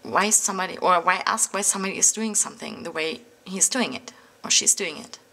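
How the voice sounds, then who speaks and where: A woman's voice: lowish voice, brisk, creaky, pushed and bright. A woman with a German accent from Berlin, a native German speaker, rambling in casual English with many fillers and restarts to her planner channel's viewers. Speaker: planner vlogger. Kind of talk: casual talk to camera. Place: indoors at a table, overhead camera on hands.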